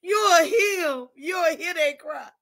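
A woman's voice: very high-pitched, takes it slowly, fairly bright tone. A mother leading an impassioned prayer, crying as she calls out to God after each phrase. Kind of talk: fervent spoken prayer.

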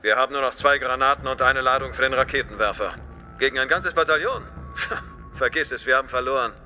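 A dutiful male soldier in an audio drama. He speaks quickly and urgently in a bright, tense voice, with military directness and faint music behind. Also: medium pitch. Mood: discouraged. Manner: clipped, urgent military address. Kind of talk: dramatised audio play acting.

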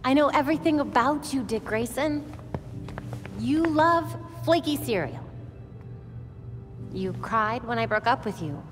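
A woman's voice: high-pitched, expressive, measured pace, pauses often. An actress playing a blunt, teasing, perceptive young woman vigilante in a video game cutscene. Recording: long hall reverb, music underneath. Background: music.

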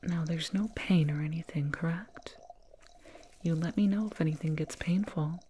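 A woman playing a soothing, proud alien dentist in an ASMR roleplay, whispering gently and repetitively up close. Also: low voice, normal speed, close to an everyday voice. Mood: soothing, focused. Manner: gentle, repetitive, reassuring murmur. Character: soothing, proud alien dentist with eerie delight in sharp teeth. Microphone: binaural mic, up close. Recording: close binaural mic, clear noise.

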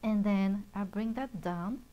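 A middle-aged woman with a voice of ordinary pitch, talking softly and patiently. She explains each beading step casually, with small pauses and fillers. Recorded cleanly on a lapel mic in a bright studio. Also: dull audio. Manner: soft-spoken, gently explains steps with fillers. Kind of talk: casual show-and-tell and beading tutorial. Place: bright studio set at a table.

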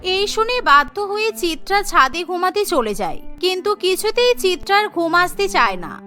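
A woman reading scripted cartoon story narration in an expressive storybook manner. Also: very high voice, moderate pace. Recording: music bed behind the voice.

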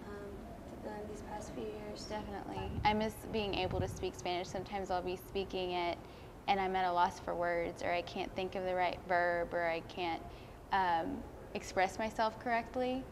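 A woman's voice: medium pitch, steady tone, normal speed, noisy background. A young woman answering interview questions, hesitant and searching for words. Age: young adult. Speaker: student interviewee.

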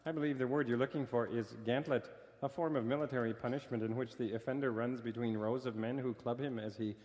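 A man's voice: mid-range voice, steady tone, quick pace, a little mellow, long reverb tail, natural voice. A man playing a fussy, prim grammar stickler who lectures precisely in a radio comedy sketch. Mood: pedantic.

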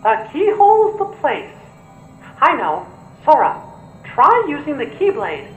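A man voicing a small, kindly mouse king in squeaky falsetto for a video game. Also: wildly swooping pitch, unhurried, fairly bright tone, heavily acted. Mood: concerned, curious. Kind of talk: video game cartoon acting. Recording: echoey muffled voice over music.

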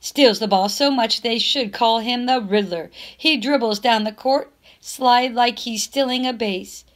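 Slow-paced, higher-voiced woman reading aloud. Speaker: teacher reading a book aloud. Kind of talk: expressive read-aloud narration of a children's novel.

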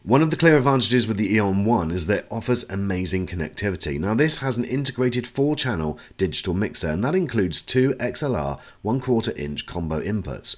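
A man giving a conversational, explanatory product review as a voice-over. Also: fairly low pitch. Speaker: tech reviewer.

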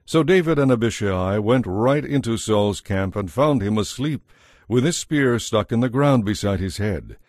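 Low-voiced man narrating scripture. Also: expressive, normal speed, a little mellow, no echo, clean studio sound.

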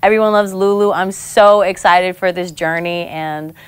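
A woman's voice: lowish voice, steady tone, moderate pace, clear, resonant tone, no echo. A woman in her thirties from American Samoa, chatting as a guest in a studio interview, bubbly and laughing often. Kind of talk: casual interview chat. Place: studio set on a couch, indoors.

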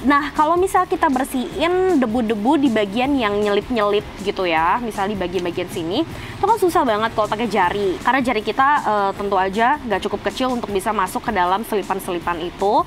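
A young woman with a Jakarta accent talks viewers through a hands-on task in a chatty, slangy manner, dropping frequent "eh" fillers. Her voice sits at a middling pitch and is recorded outdoors on a clip-on mic. Background music plays clearly underneath her. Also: lively intonation, brisk. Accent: Jakarta Indonesian. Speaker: car channel presenter. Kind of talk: casual how-to presenting to camera. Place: outdoors by a car in a residential driveway. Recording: music playing behind the voice.

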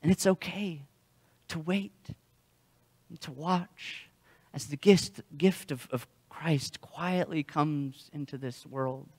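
A man's voice: on the high side, a little mellow. Young male pastor preaching, long hall reverb. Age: young adult. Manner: halting, often repeating words as he goes. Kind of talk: sermon with storytelling. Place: church sanctuary, indoors.

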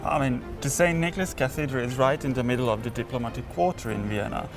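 A man's voice: medium pitch, quick pace, gravelly, over music. A young man from Hungary, a doctoral student, explaining thoughtfully on camera. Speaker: PhD student.

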